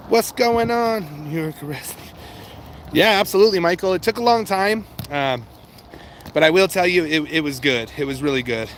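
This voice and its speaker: A man with a fairly high voice hosts a casual livestream, speaking informally and directly to his viewers with some swearing. He is out and about, with clear outdoor and vehicle noise behind him. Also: expressive, unhurried, long pauses, fairly bright tone. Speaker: livestream host chatting with viewers. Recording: noisy outdoor street and vehicle background.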